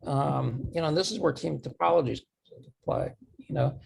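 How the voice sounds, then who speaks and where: A man giving a presentation, his voice going hoarse, halting and repeating words as he poses true-or-false questions. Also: fairly high pitch, a little mellow, slight background noise. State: losing his voice, clearing throat. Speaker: conference speaker. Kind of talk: talk presentation with audience questions.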